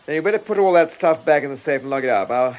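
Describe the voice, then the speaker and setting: A man's voice: on the high side, lively intonation, fast talker, dull audio, lightly acted. A man playing an erudite, commanding British chief inspector in a radio detective drama, with dryly ironic delivery. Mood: measured, authoritative. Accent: British English. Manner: dryly ironic, commanding, given to literary quips. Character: wry, erudite police chief inspector leading a murder investigation.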